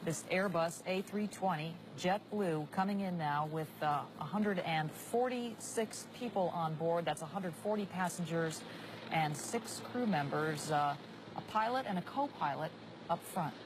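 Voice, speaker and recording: An American woman gives live breaking news commentary as an anchor. Her voice is on the low side, and a clear vehicle drone runs behind it. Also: measured pace, background noise.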